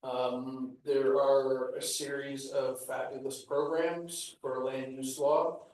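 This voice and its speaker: A man on a town commission, explaining procedure at a public meeting in a measured way with many fillers. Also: medium pitch, level tone, a little mellow, a little hiss or hum. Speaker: commission member. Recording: echoey room, distant mic.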